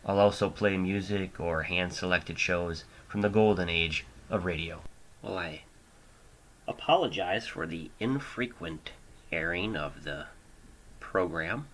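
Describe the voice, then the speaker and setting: Deep-voiced American man hosting amateur radio. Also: a bit bright. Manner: deliberate, drawn-out phrasing with pauses. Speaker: amateur radio show host. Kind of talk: scripted radio show narration. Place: home studio. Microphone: older hobbyist microphone. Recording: faint noise, amateur home microphone.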